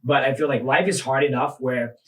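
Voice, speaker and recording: A man from Thailand who grew up in New York, hosting a podcast interview in a relaxed, chatty way with frequent affirming murmurs. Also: medium pitch, brisk. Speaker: podcast host, physical therapist.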